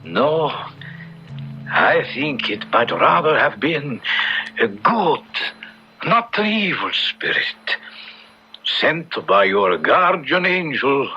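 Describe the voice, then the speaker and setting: Slow, animated sermonizing priest. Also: male voice, medium pitch, husky, heavily acted. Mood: thoughtful, moralizing. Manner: measured, pontificating. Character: wise, moralizing Italian priest. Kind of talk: 1970s radio drama acting. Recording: dull 1970s radio recording, faint music.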